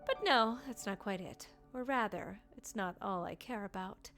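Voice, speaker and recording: A woman game streamer giving live playthrough commentary in an expressive, theatrical way. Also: medium pitch, moderate pace. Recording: loud game music behind voice. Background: game music.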